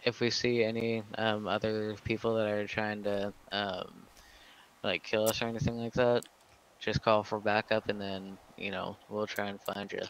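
A man casually giving instructions in character as a group leader in a roleplay game, with halting, measured phrasing. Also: fairly low pitch, little pitch movement, clear, resonant tone, rough recording.

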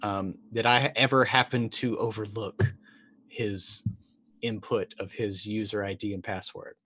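Low-voiced man in his forties playing a tabletop game. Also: steady tone, a little slow, bright, forceful tone. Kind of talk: casual in-game play, describing his character's actions.

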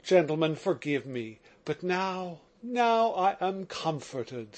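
A man's voice: high voice, lively intonation, takes it slowly. A man voicing a desperate, passionate young prisoner who pleads and exclaims in an audiobook reading. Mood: impassioned.

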